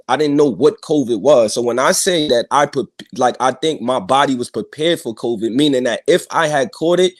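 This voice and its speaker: A young man on a remote call, insistently explaining himself in casual discussion, often repeating and restarting. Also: mid-range voice. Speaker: guest on a panel stream.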